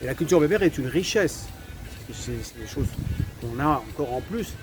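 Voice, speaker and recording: A man's voice: fairly high pitch, expressive, moderate pace, noisy background. A man from France giving his opinion to camera, hesitant, with many fillers and repeated words between firm statements. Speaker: vlogger.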